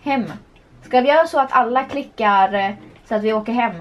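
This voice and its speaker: A woman's voice: on the high side, highs cut off, a little hiss or hum. A young woman casually chatting while playing a horse game, playful and giggly, recorded at a desk webcam in a small room. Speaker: vlogger. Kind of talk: casual gameplay commentary.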